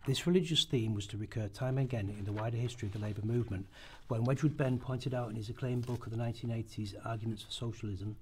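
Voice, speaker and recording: A man's voice: mid-range voice, fairly even intonation, fast, a little mellow, a little hiss or hum. A man narrating a historical radio play at a rehearsal, reading from a script and occasionally stumbling over it. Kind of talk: scripted documentary-drama narration, read aloud.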